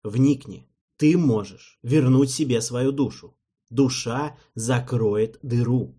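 A man voicing a calm, patient young male king who speaks quietly and slowly. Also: medium pitch, breathy edge. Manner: quiet, soothing, measured.

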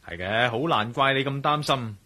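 An actor playing a shrewd, teasing adventurer in a radio drama, with a wry, colloquial manner and a Hong Kong Cantonese accent. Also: male voice, medium pitch, animated, normal speed, sharp tone. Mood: calm, reassuring. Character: shrewd, teasing male adventurer, cool under pressure.

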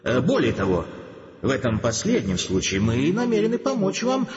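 An actor playing a pompous emissary of an alien civilization in a radio play, speaking in formal, stilted officialese. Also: male voice, medium pitch, huge pitch swings, moderate pace, darkish tone. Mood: condescending, oily. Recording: echoey hall, long reverb, dull sound.

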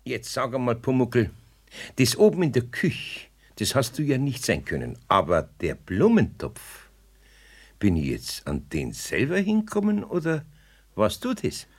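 A man's voice: mid-range voice, huge pitch swings, unhurried, husky, full character voice. A man playing a grumbling, good-natured older craftsman with a Bavarian accent in a 1970s radio play. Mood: gently reproachful. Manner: homely, grumbling dialect talk.

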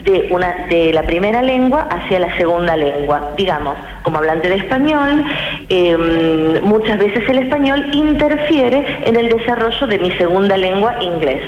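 A woman, a guest linguist on a radio interview, explaining in a measured, academic way with many hesitations. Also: medium pitch, expressive, darkish tone. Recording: roomy, muffled radio with hiss.

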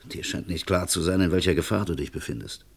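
Radio crime play: steady, insistent confidant, deep-pitched, reasons firmly and warns with emphasis. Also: male voice, fast, vocal fry, breathy edge, full character voice. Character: level-headed, insistent male friend giving stern advice. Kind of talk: vintage German radio crime play acting.

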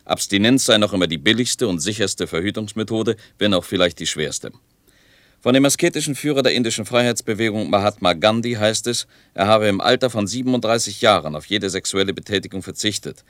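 An actor playing a formal, matter-of-fact newsreader reading out a report in a radio play. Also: male voice, on the low side, fairly quick, fairly bright tone, dry recording, close to an everyday voice. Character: formal male newsreader quoting a news report. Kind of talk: scripted news-style reading in radio play.

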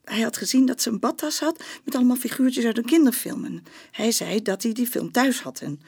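Husky-voiced, troubled mother. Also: a little high, expressive, brisk, a little mellow, heavily acted. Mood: distressed, subdued. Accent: Dutch. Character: anxious mother recounting her daughter's abuse to police. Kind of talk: naturalistic radio drama acting.

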